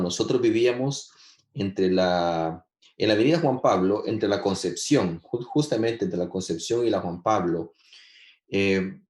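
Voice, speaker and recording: Salvadoreño de voz grave titubeante. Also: male voice, fairly low pitch, darkish tone, roomy sound. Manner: halting, with many restarts and filler words. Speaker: guest composer. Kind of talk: casual interview answers.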